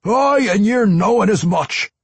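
Gruff, high-straining dwarf vampire. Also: male voice, animated, a little slow, no echo, full character voice. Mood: exuberant, roaring. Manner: growling, exclamatory, rustic archaic speech. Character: gruff, tormented dwarf vampire, old battle-hardened friend.

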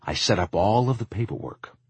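A man voicing a kindly, dreamy old shopkeeper, gentle, encouraging and wistful, in an audiobook. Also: on the low side, expressive, brisk, slightly rough, no echo, pitched above the performer's own voice. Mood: warm, wistful. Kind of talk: audiobook character acting.

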